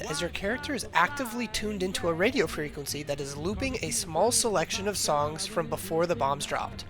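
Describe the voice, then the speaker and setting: Guy with a slightly high voice doing a formal video essay narration, music playing behind. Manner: formal, academic. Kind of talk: scripted analytical narration.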